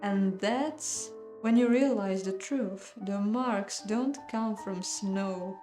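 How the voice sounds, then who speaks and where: A woman's voice: mid-range voice, slow, warm tone. Woman narrating a game in an echoey room. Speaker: game playthrough host. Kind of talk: reading a visual novel aloud with casual commentary. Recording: roomy echo, music behind.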